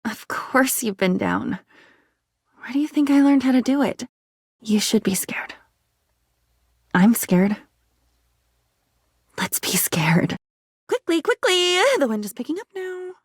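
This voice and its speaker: Frantic talking diary, female, high voice, unhinged, lonely, theatrical game character, very dry close recording. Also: animated, slow pace, long pauses, slightly rough, no room sound, exaggerated voice. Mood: ominous, fearful, then whimsical, unhinged. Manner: theatrical, erratic, swinging between whispers and cries. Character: unstable living diary, lonely, frantic, fractured female spirit. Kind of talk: video game character voice acting.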